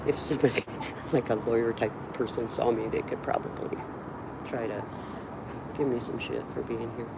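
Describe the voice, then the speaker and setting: A woman's voice: rumbling low, steady tone, normal speed, vocal fry. A woman in casual, slangy, emphatic conversation, speaking outdoors on a city street. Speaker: supporter visiting a street activist. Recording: outdoors, loud traffic and vehicle noise.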